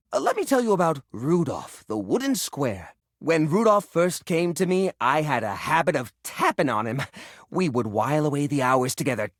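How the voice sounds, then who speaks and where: An actor voicing a quirky, sentimental male junk drawer come to life in a video game, fussy, earnest and expressive. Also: high voice, no echo, clean studio sound, full character voice. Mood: fond, musing. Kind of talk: video game character acting.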